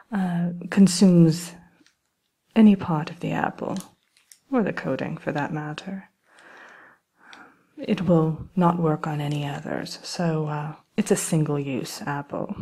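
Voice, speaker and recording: A woman voicing a sly, genteel evil queen in an ASMR roleplay, soft-spoken and often slipping into whispers, with breathy, hesitant, politely wicked phrasing. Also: deep voice. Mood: sly, confiding.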